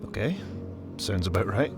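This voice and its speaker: A man's voice: fairly low pitch, animated, moderate pace, vocal fry. An actor playing a subdued, mysterious male guide in a video game mystery, in an understated style. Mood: subdued, terse. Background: atmospheric game music.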